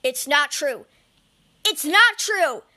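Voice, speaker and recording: A woman's voice: high voice, lively intonation, slow pace, sharp tone, full character voice. A woman voicing a stubborn, earnest male hero dog in a cartoon fandub, commanding and indignant. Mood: defiant. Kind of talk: animated film fandub.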